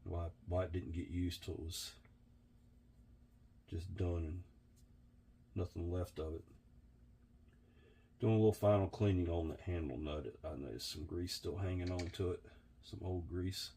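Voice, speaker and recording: Very deep-voiced hoarse man. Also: mellow, a little hiss or hum. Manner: unhurried, chatty, admiring. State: hoarse and sniffly. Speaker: vintage reel restorer. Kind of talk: casual workbench tutorial.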